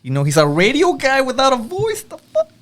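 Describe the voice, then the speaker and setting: A man's voice: very high-pitched, wildly swooping pitch, fairly quick, a bit bright. A man in a casual podcast chat, joking and swearing in loose slang.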